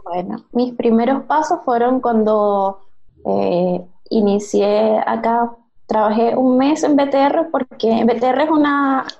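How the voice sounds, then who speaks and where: A woman's voice: on the high side, fairly even intonation, measured pace, vocal fry, muffled recording. A woman in her thirties with a Venezuelan accent, answering conversationally as a podcast guest.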